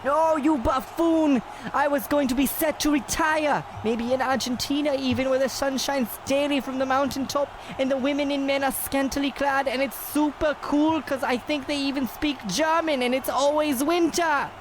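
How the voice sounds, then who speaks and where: High-pitched, bombastic German boxer. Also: male voice, fairly even intonation, moderate pace, hardly any pauses. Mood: indignant. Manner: bombastic, exclamatory. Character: irate melodramatic male German boxer wanting to lose. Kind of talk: comedic old-time radio play acting. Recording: noisy background ambience.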